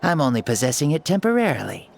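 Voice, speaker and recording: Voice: medium pitch, big pitch swings, quick pace, creaky, slight background noise. An actor playing a cocky ancient hero spirit in a young boy's body for a video game, theatrical and teasing. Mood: amused. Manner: theatrical, teasing, self-amused. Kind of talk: anime-style video game voice acting.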